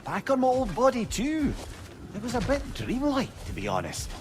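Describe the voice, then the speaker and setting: A man's voice: very high voice, huge pitch swings, normal speed, background noise, heavily acted. A man playing a witty, wise older companion in a video game, chatty and wry with a Scottish accent. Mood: wry, curious.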